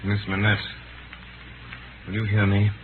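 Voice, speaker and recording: Male actor as ruined romantic gentleman, very low voice, solemn and halting, vintage radio drama with clear background noise. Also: monotone, lightly acted. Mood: resigned sorrow. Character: Sydney Carton, dissolute despairing man, tenderly self-abasing. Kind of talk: 1930s radio drama acting. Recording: noisy tinny 1930s radio broadcast.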